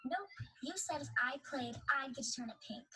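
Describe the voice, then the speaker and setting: A woman's voice: sits high, expressive, fairly quick, pushed and bright, a little hiss or hum, exaggerated voice. A woman playing a bubbly, demanding young daughter in a superhero comedy. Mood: gleeful, insistent.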